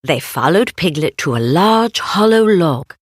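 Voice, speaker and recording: A woman reading a children's storybook aloud in an expressive, deliberate way. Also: on the low side, no room sound, studio quality. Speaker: storybook narrator. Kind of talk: scripted read-aloud children's story narration.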